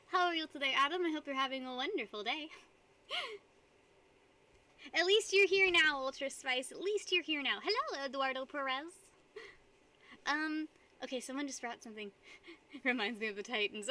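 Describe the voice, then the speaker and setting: A woman's voice: sits high, expressive, normal speed, bright, forceful tone. A young woman streaming live, chatting casually with her viewers and rambling between frequent giggles. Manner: giggly, rambling, reads chat aloud.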